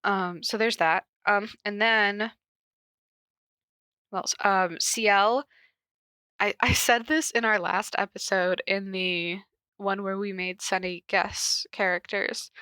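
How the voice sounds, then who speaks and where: A woman's voice: mid-range voice, steady tone, slow, bright, forceful tone, smooth, no echo. A woman hosting a casual solo podcast, chatting in a rambling way with lots of ums and likes while fighting a stuffy nose from a cold. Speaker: podcast host.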